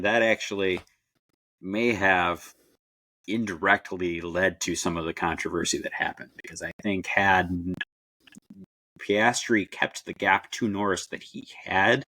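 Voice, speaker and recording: A man with a deep, low voice co-hosts a sports podcast. He talks through the action casually and in a measured way, recorded very dry with no room sound. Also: bright, forceful tone, dry recording, rough recording. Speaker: podcast co-host.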